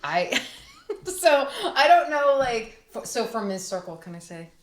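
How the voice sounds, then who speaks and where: A woman's voice: a little high, sing-song, a little slow. A woman chatting casually as a guest, often interrupting herself and starting over as she explains. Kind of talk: casual chat. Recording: echoey room.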